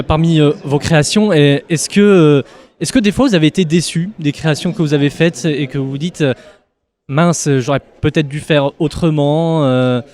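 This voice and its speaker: Halting male radio interviewer. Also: a little high, a little mellow. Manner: halting, filler-heavy questions.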